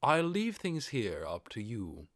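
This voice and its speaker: Male narrator as undead master, audiobook character, cautious, commanding, measured, gracious, lively intonation. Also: mid-range voice, dry recording, clean studio sound, exaggerated voice. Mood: benevolent. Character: undead overlord with red-spark eyes, cautious, gracious master.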